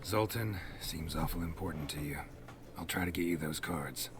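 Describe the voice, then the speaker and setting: A man's voice: low-pitched, normal speed, close to an everyday voice. An actor playing a stoic, terse male monster hunter in a video game. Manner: terse and flat. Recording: game audio with background noise.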